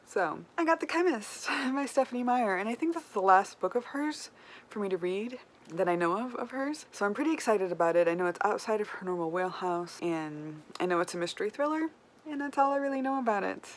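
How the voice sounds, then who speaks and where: A woman's voice: mid-range voice, animated, a little fast, rough recording. Casual woman vlogger over background noise. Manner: informal, wryly self-deprecating. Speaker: book vlogger. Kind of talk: casual chat to camera.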